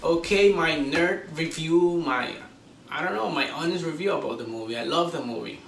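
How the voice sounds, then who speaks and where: A man hosting a casual, unscripted movie review, talking like an excitable fan with drawn-out exclamations. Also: a little high, vocal fry, distant mic. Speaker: host of a movie review show. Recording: roomy echo, off-mic, faint background noise.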